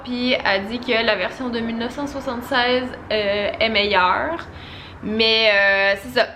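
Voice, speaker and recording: A young woman with a Québécois French accent talks to her camera in a loose, chatty vlog style, sprinkling in casual fillers. Her voice sits a little high and sounds bright and sharp. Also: slight background noise. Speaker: vlogger. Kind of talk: casual chat to camera, film review.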